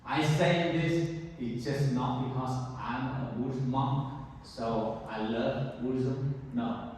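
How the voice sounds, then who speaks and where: A man in his fifties with a Vietnamese accent, a visiting Buddhist monk giving a gentle, pausing dharma talk to high school students. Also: steady tone, a little hiss or hum. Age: 50s. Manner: slow, gentle, pausing with many "uh"s. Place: large temple hall. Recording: echoey hall, mic far off.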